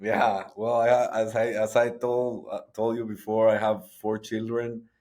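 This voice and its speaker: Halting man. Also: on the low side, flat delivery, darkish tone. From Guatemala. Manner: halting, frequent restarts and repetitions. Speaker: guest, sports entrepreneur. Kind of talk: conversational interview.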